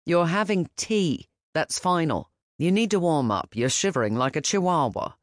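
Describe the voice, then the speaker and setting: A woman voicing a gentle, protective older man who speaks softly and calmly, with a firm edge. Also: fairly high pitch, moderate pace, no echo, studio quality, lightly acted. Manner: soft, calm and fatherly.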